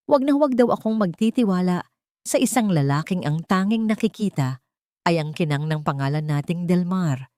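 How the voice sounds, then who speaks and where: A woman with a Filipino accent voicing a betrayed, quietly resolute heiress in an audio love story, soft but steady and bitter. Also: fairly low pitch, normal speed, no room sound, studio quality, lightly acted. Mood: wounded, grave.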